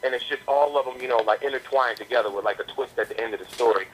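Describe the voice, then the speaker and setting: Male rapper from the southern US, rapid casual slang, interview guest over a faintly noisy phone connection. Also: medium pitch. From the southern United States. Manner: slangy, repeats words as he builds a point. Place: on a landline phone call. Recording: noisy landline phone call.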